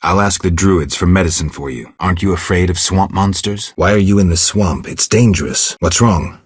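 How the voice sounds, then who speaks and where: A man playing a stoic, gruff, world-weary monster hunter in a video game, with terse, deadpan delivery and a gravelly voice. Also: no echo, clean studio sound, heavily acted. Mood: grave, cautioning. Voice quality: gravelly, husky low voice. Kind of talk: video game character dialogue.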